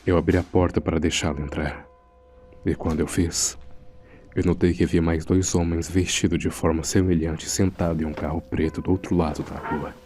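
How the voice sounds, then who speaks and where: A man's voice: deep voice, level tone, moderate pace, mellow, close to an everyday voice. A man playing an uneasy morgue assistant, narrating a horror audio drama in a grave, suspenseful manner. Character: uneasy male morgue assistant recounting a frightening secret. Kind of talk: scripted first-person horror narration.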